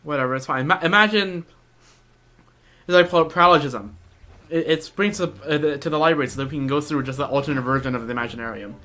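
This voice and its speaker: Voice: medium pitch, fast talker, sharp tone. A game streamer chatting casually, thinking aloud in short reactions over game audio. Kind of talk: casual livestream commentary.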